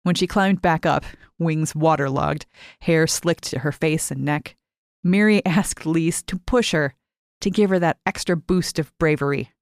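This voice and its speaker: A woman with a slightly low voice narrates fiction in a very dry recording. Her reading is expressive and scripted. She phrases deliberately, leaving dramatic pauses. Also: dry recording. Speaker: short fiction reader.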